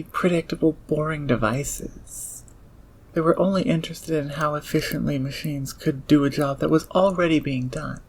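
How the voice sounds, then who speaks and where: An actor in an audio roleplay playing a socially awkward, intense engineer turned thief, speaking in a halting, precise, nerdy way. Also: mid-range voice, natural voice. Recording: clear background ambience noise.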